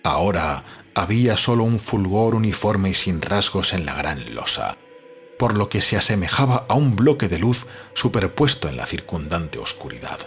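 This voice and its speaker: A man reads literary narration in a measured, dramatic storytelling manner. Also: deep voice, very animated. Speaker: audiobook narrator. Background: background music.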